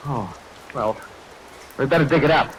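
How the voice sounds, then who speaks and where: A man's voice: mid-range voice, moderate pace, lightly acted, rough recording. An actor playing a stubborn, brusque man who dismisses others' fears in a vintage radio play. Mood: brusque, resolute. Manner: impatient, brusque. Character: stubborn, dismissive man insisting all is normal. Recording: vintage radio recording with rain noise. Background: rain.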